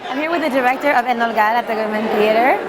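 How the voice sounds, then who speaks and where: A woman's voice: a little high, very fast, music in the background. A young woman hosting a show and interviewing guests in an upbeat presenting style. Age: young adult. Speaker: host. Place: theater lobby and lounge, indoors. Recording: very noisy, crowded public space. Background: loud crowd chatter.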